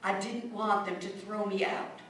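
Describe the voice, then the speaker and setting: A woman's voice: mid-range voice, steady tone, unhurried, fairly bright tone, a little hiss or hum, close to an everyday voice. A woman playing a blunt immigrant mother, echoey. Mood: reminiscing, matter-of-fact. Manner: plainspoken, colloquial storytelling with curt commands. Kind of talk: radio drama monologue. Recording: echoey room, distant microphone.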